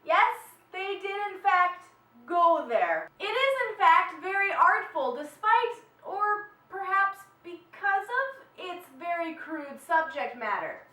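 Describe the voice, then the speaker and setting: A woman delivers chatty, enthusiastic vlog commentary. Her voice is extremely high and bright. It sounds recorded in an echoey room, a little way from the microphone. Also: sing-song, takes it slowly. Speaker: animation vlog host reviewing short films. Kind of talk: upbeat vlog review commentary. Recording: echoey room, distant from mic.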